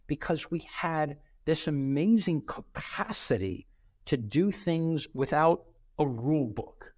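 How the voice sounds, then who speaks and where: A middle-aged man, a podcast guest, telling career stories in an animated way and acting out quoted dialogue. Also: a little high, slow, dry recording. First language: bilingual, Brazilian parents. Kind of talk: conversational interview storytelling.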